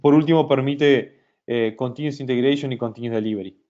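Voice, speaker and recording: A man presenting a software product in a webinar, talking slowly with many fillers and restarts. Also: medium pitch, lo-fi sound. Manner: hesitant, many fillers and restarts, speaks slowly in Spanish for a Brazilian audience.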